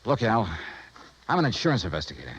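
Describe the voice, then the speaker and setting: An actor playing a tough, blunt insurance investigator with an American accent, brisk and forceful, in a 1950s radio noir drama. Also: male voice, fairly low pitch, wildly swooping pitch, breathy edge, exaggerated voice, low-quality recording. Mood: blunt, urgent. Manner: brisk, forceful, plain-spoken. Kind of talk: 1950s radio noir acting, hard-boiled.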